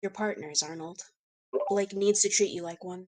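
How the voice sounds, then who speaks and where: A woman's voice: lowish voice, fairly even intonation, normal speed, a little mellow, natural voice. A woman playing a ruthless, controlling wife and mother in a crime family, commanding and insistent, at a script table read. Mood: cold, insistent.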